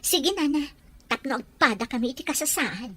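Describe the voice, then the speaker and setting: A woman's voice: high voice, animated, moderate pace, sharp tone, dry recording. A woman playing a pushy, plotting young schemer in an audio drama, talking insistently.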